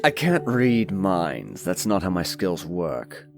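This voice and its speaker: A man's voice: deep voice, huge pitch swings, slow pace, creaky, faint music behind, heavily acted. A man playing a jaded, condescending nobleman who speaks in a curt, dry, analytical way in a dramatized fantasy audiobook. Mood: dry, matter-of-fact.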